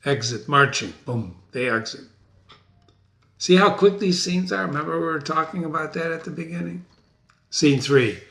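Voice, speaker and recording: A man hosting a play reading, giving informal explanatory asides in an animated, casual way. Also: fairly high pitch, moderate pace, creaky, reverberant. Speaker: host of a dramatic reading series.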